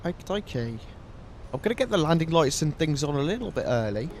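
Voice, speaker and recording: A man's voice: fairly high pitch, very animated, brisk, noisy background. A man streaming a flight sim, chatting casually with viewers while flying, his nose stuffy from a cold. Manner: chatty, friendly, thanks viewers by name. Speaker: flight simulator livestreamer.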